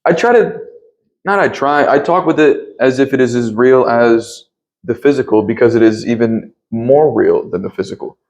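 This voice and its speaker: A man with a deep voice speaking earnestly as an interview guest about spiritual matters, often pausing to search for words. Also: a little mellow, lo-fi sound. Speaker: interview guest, yoga teacher and Reiki practitioner. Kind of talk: reflective spiritual conversation.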